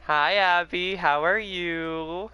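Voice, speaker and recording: A man's voice: high voice, steady tone, slow pace, bright, forceful tone, smooth, dry recording, soft background music. A man giving casual commentary as he streams a video game.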